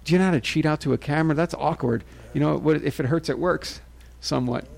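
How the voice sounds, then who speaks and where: A man's voice: a little high, quick pace, gravelly. A man hosting a talk show, chatting casually in a crude, joking, profane way. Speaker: podcast host.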